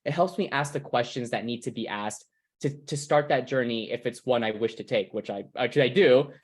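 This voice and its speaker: A man's voice: mid-range voice, normal speed. A young man from California, earnestly concluding a presentation on a video call, reflective, with restarts.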